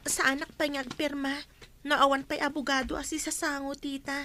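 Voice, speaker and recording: A woman performs a young niece held against her will. Her voice is extremely high and youthful, with a plaintive, earnest edge. She is stubborn and firm in a melodramatic audio drama style. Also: level tone, a little fast, bright, forceful tone, a little hiss or hum. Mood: resisting.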